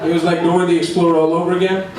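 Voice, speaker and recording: A young man delivers stand-up comedy in a fairly high voice, with deadpan timing. He is heard from far off in a very echoey room, with clear, heavy background noise. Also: racing. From immigrated to Australia at age eleven. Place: indoor comedy room before a live audience.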